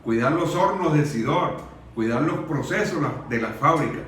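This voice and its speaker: A Venezuelan man giving an earnest, deliberate and emphatic public speech in Spanish, pausing between short phrases. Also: fairly high pitch, animated, fairly bright tone. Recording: very echoey room, distant mic, faint noise.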